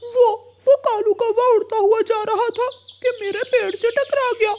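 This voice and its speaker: A woman doing a gentle, helpful male parrot in a kids' cartoon, with a very high, squeaky voice. He talks softly and kindly to his bird neighbours. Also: steady tone, moderate pace. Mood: upset.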